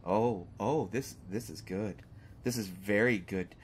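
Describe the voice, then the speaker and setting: A man's voice: fairly low pitch, takes it slowly, a little hiss or hum. A man playing a sarcastic, unafraid hostage who teases a villain in an audio drama, with exaggerated mock reactions. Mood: smug amusement. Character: sarcastic, unafraid male hostage taunting a lovesick supervillain. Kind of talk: scripted roleplay audio drama monologue.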